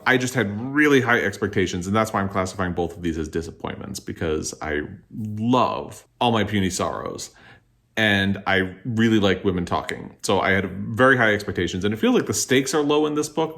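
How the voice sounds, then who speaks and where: A man's voice: lowish voice, a bit bright. A man talking casually and candidly as host of a book vlog, giving his opinions in a conversational, qualified way. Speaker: book vlogger, host of the video.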